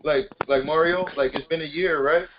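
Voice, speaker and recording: Muffled, higher-voiced man hosting a call. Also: fairly even intonation, moderate pace, slight background noise. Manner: informal hype, addressing listeners directly. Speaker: conference call host. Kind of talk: motivational casual group call.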